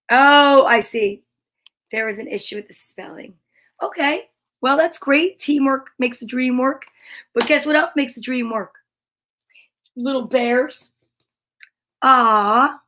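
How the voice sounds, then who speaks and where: A woman's voice: high voice, a bit bright. A woman hosting a live children's story time, reading aloud and chatting to viewers with playful, encouraging exclamations. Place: at home.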